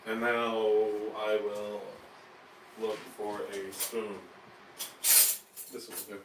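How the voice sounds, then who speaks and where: Raspy-voiced male cooking host, chatty and upbeat, recorded by a distant mic in an echoey kitchen. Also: on the low side, little pitch movement, drawn-out words. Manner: upbeat, chatty, explains steps as he cooks. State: raspy, voice cracking after flu. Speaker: home cooking channel host. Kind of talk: live cooking stream chat. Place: home kitchen. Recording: echoey room, distant mic, faint noise. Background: kitchen clatter, hot plate.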